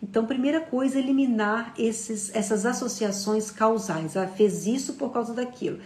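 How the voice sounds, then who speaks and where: A woman's voice: on the high side, moderate pace, darkish tone. A woman giving a conversational live psychology lecture, explaining patiently and using many fillers. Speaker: psychology teacher. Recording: roomy echo.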